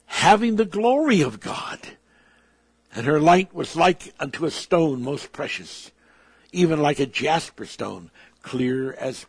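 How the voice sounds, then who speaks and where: A man's voice: mid-range voice, no room sound. A man preaching a sermon, emphatic, with his volume swelling and dropping. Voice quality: gravelly, husky.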